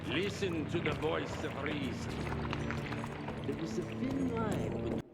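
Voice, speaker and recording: A cautious, pleading vampire. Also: male voice, on the high side, sing-song, slow pace, loud music behind the voice, exaggerated voice. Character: cautious vampire, urging restraint.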